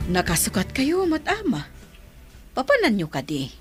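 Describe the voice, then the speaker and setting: An actress playing an expressive, direct village woman in a radio drama. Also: a little high, normal speed, soft background music. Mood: friendly. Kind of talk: Ilocano radio drama acting.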